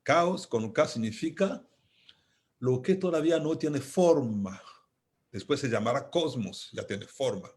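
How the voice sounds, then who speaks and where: A man from Congo with a Congolese accent, giving a reflective philosophical talk as an invited speaker, deliberate and emphatic, repeating key phrases. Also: mid-range voice, expressive, darkish tone.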